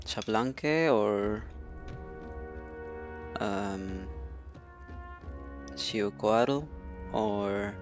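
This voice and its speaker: A man's voice: lowish voice, drawn-out words, long pauses, dull audio. A man giving casual, musing commentary as a game streamer, hesitant as he thinks aloud. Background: soft game music.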